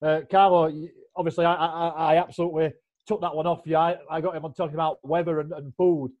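A man's voice: a little high, flat delivery, fast. A man hosting a casual interview, asking long, rambling questions. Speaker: podcast host. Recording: dull, lo-fi sound.

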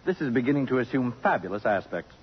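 A vain society wit, pompous and waspish, placing each phrase precisely. The voice is a little husky, on a dull old radio drama recording. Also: male voice, medium pitch, animated, fast talker. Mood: sardonic. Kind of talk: 1950s radio drama acting.

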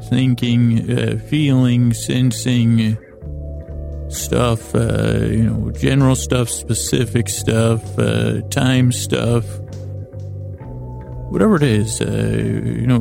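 A man hosting a sleep podcast with a lulling, languid bedtime monologue, meandering and halting, often stammering into false starts. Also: mid-range voice, mellow. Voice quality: gravelly, creaky. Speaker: podcast host. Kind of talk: rambling bedtime monologue to help listeners sleep.

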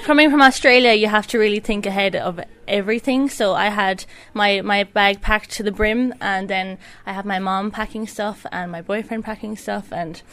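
Young woman, Kerry Irish accent, relaxed chatty interview talk, easy laughs. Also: mid-range voice, moderate pace, hardly any pauses. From Ireland. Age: young adult. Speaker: pageant contestant. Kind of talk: casual interview chat.

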